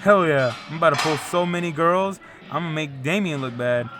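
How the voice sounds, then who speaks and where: A man's voice: on the high side, a little fast, over music, close to an everyday voice. A man playing a big, goofy, boastful young friend in an audio drama. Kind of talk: audio fiction dialogue.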